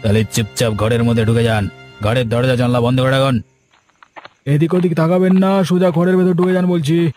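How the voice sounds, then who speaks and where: Guy as cartoon village thug, dark smooth tone, bossy and smug, threatening, music behind. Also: mid-range voice, fairly even intonation, brisk, natural voice. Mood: menacing. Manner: threatening, commanding. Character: menacing village thug and killer, bullying and smug.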